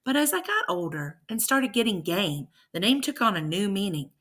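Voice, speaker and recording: A woman's voice: mid-range voice, very animated, moderate pace, a bit bright. A woman teacher reading a verse story aloud with rhythmic, emphatic delivery and brief explanations.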